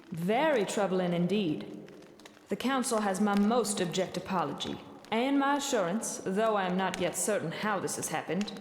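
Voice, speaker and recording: An actress playing a proud, diplomatic elf military commander in a fantasy audio drama, speaking with measured, courteous formality. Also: female voice, medium pitch, lightly acted. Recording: echoey chamber reverb, faint noise.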